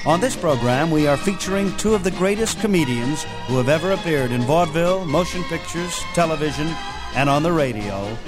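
A man reading a scripted radio show introduction as host, in a measured announcer delivery. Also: a little high, animated, low-quality recording. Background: music.

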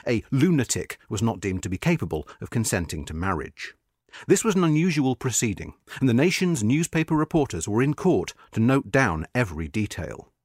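Male narrator for magazine history feature: formal, measured, varied melodic intonation, dry close studio sound. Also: medium pitch, sing-song, a little mellow, breathy edge, no echo. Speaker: narrator reading a magazine article. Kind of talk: scripted narration of a history article.

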